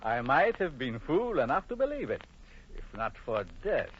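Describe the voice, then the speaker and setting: An actor playing a stern, bitter doctor and betrayed husband in an old-time radio drama, formal and dramatic with a husky voice. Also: male voice, medium pitch, very animated, moderate pace, slight background noise, natural voice. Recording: muffled old radio broadcast.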